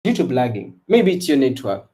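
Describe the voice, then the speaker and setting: A man's voice: medium pitch, normal speed, slightly rough. Young guy w ugandan accent chatting on a live trading stream, laid back, indoors. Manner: relaxed, repetitive hype calls to viewers. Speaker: livestream trading host. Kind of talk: casual live-stream chat. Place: indoor room before a branded backdrop.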